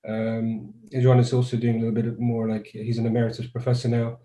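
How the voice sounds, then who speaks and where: A man's voice: mid-range voice, monotone, brisk, warm tone, roomy sound, highs cut off. A man from Croatia, a guest neuroscientist, answers interview questions over a video call in a thoughtful, conversational way and often restarts his sentences.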